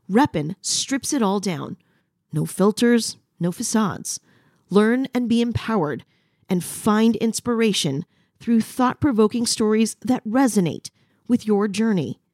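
A woman's voice: mid-range voice, a little mellow, no room sound. Close, dry-sounding female promo voice. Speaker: podcast promo announcer. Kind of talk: scripted promotional narration.

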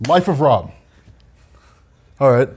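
A husky man riffing on ridiculous comic plots with friends. He pitches each idea with swooping, lively intonation and keeps laughing through his own jokes. Casual and playful. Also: takes it slowly. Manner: animated, laughs often, playfully defensive. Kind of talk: casual banter, improvising stories.

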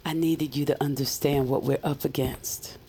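An actress playing a cool, cryptic guide in audio drama dialogue, speaking in an understated, terse way. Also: female voice, a bass voice, steady tone, normal speed, dark tone, a little hiss or hum. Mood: grave, apologetic.

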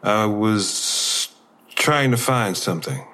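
An actor playing a groggy, injured, uneasy man in an audio drama, halting and husky. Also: lowish voice, pushed and bright. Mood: halting, suspicious. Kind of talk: naturalistic audio drama dialogue.

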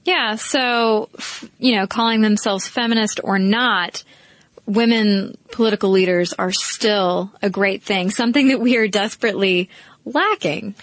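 A woman's voice: mid-range voice, measured pace, no echo. A woman co-hosting a podcast in casual chat, upbeat and amused. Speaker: podcast co-host.

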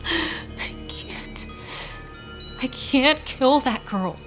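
A woman's voice: sits high, expressive, drawn-out words, fairly bright tone, faint music behind, full character voice. An actress playing a starving, conscience-stricken female vampire in an audio drama, weak, faltering and pleading. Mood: weak, anguished. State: weak, unwell.

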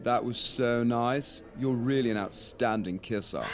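A man's voice: mid-range voice, measured pace, natural voice. An actor playing a courteous, earnest, rather dull man on a date in an audio drama, speaking politely. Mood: smitten. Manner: polite, explanatory, smitten. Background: music.

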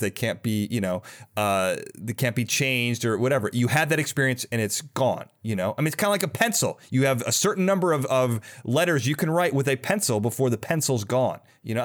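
A man hosting a podcast, talking casually and with enthusiasm in an interview conversation. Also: mid-range voice. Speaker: podcast host.

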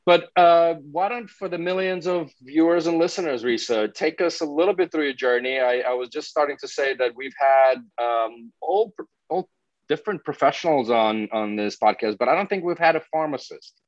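A man's voice: on the high side, moderate pace, no room sound, highs cut off. A man hosting a podcast, chatting informally and unscripted in a bantering way over a video call. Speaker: podcast host. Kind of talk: casual unscripted chat.

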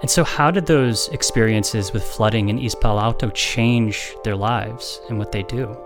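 A man's voice: lowish voice, moderate pace, music in the background. A male radio host asking a reporter questions in a conversational way.

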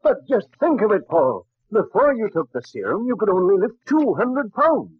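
High, fretful old professor. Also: male voice, moderate pace, husky, dry recording, full character voice. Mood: amazed. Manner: excitable, fretful, melodramatic. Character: anxious, protective elderly professor father. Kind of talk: 1940s radio acting. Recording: muffled, dry old radio recording.

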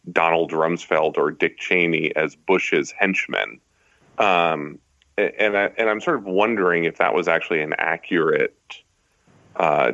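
A man giving halting, analytical commentary as a guest in a conversational interview. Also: rumbling low, a bit bright, no echo, muffled recording. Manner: halting, analytical, frequent hedging phrases. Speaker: guest journalist.

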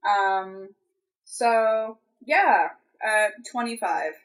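A woman's voice: medium pitch, very slow. Rambling woman in her twenties. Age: 20s. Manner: rambling, full of "like" and "um". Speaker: podcast co-host. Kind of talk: casual chat.

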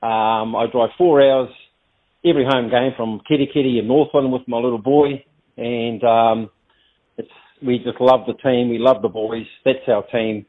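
A man's voice: mid-range voice, fairly even intonation, normal speed. Kiwi guy leaving a fan voicemail, tinny phone sound, medium voice, bit hesitant. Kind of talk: casual unscripted fan message.

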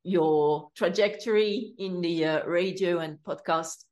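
Female interview host, lower register, halting, filler-heavy delivery. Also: a little mellow, muffled recording. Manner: hesitant, many fillers and restarts. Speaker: podcast host. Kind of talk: casual interview. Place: home in London.

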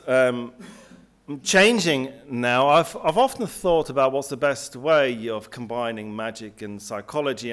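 A middle-aged man from Switzerland lectures to an audience with lively, swooping intonation. He speaks emphatically and sometimes restarts a phrase mid-thought. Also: medium pitch. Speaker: guest speaker. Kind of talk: public lecture.

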